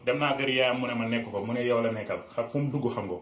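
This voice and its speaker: An agitated Senegalese man hunting for his missing motorbike played by a male actor in a radio drama. He talks fast, and the room around him echoes. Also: medium pitch, natural voice. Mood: insistent. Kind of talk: radio theatre dialogue in Wolof.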